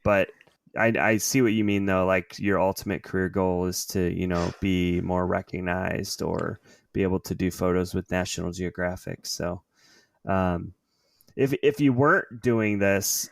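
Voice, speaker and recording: A man's voice: deep voice, no echo. A man hosting a podcast, asking questions with friendly hesitations and reading a closing outro. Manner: friendly, with frequent yeahs and hesitations. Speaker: podcast host. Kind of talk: casual interview, then scripted outro.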